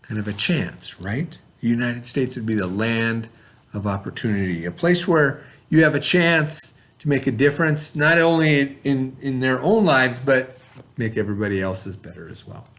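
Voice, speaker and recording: A man's voice: mid-range voice, moderate pace, fairly bright tone. A man teaching young children, reading a story aloud and then reviewing it with patient questions.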